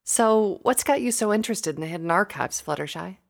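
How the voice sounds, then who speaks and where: Woman as an earnest, high-voiced scholar pony. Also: expressive, a little fast, creaky. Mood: inquisitive. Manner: earnest, questioning. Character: inquisitive, considerate young female pony scholar.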